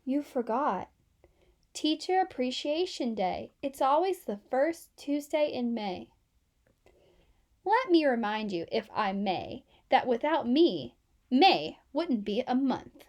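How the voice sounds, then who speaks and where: Woman playing miserable male teacher, weary, reproachful, high register, expressive range, children's storybook. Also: slow pace, heavily acted. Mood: glum, reproachful. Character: miserable, put-upon male schoolteacher, sighing and patient. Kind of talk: children's storybook read-aloud.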